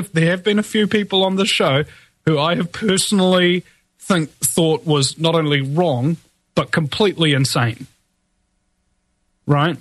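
A man's voice: high voice, fairly bright tone, no room sound. A man hosting his own show, ranting defiantly in a solo monologue full of fillers and restarts, with a somewhat whiny voice. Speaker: podcast host.

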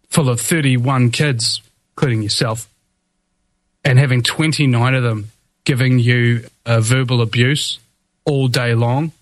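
A man's voice: mid-range voice, dry recording. A man hosting his own show, ranting defiantly in a solo monologue full of fillers and restarts, with a somewhat whiny voice. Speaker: podcast host.

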